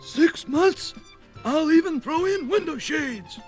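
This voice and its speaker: A man's voice: very high voice, animated, slow, faint music behind, exaggerated voice. A man voicing a wheedling, opportunistic landlord with ingratiating, emphatic patter on a children's story record. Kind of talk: children's read-along record acting.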